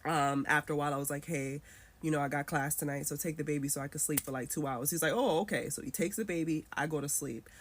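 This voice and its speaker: A woman's voice: low voice, quick pace, a little hiss or hum. A woman in her thirties retelling a personal story in a casual, emotional way, acting out the voices she quotes.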